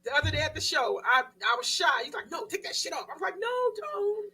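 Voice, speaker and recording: A woman's voice: sits high, sing-song, fast, bright, forceful tone. A woman in her thirties co-hosting a casual talk show, slangy and playful, often mock-protesting. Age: 30s. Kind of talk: casual banter.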